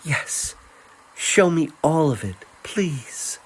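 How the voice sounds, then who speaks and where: A man voicing a timid, sheltered young woman with a soft, hesitant, wondering manner in a fantasy audio story. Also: on the high side, lively intonation, very slow, husky, voice pushed up, heavily acted, rough recording. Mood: awed.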